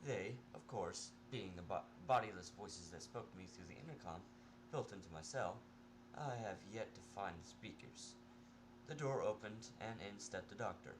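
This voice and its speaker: A man with a lowish voice reads first-person fiction aloud. His delivery is informal and amateur, with frequent slips over words. A faint noise sits behind the recording. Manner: informal, stumbles over words and swears at own mistakes. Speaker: amateur fan fiction reader. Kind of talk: first-person story narration.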